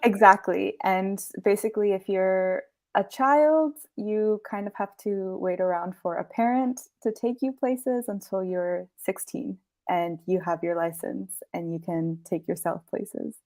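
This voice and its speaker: A woman's voice: medium pitch, unhurried, hardly any pauses. A young woman who grew up in the US, chatting as a guest in an easy, friendly way with small laughs. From Peru, grew up in the US. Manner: friendly, laughs between phrases, relaxed. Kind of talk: casual interview chat.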